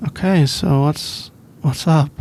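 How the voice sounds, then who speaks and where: A man's voice: fairly high pitch, gravelly, exaggerated voice. A man with a British accent playing a sleepy, shy college boyfriend in an intimate ASMR roleplay, hesitant and rambling. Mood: tired, reassuring. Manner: hesitant, halting, rambling with soft laughs. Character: sleepy, shy, easygoing young male college student boyfriend.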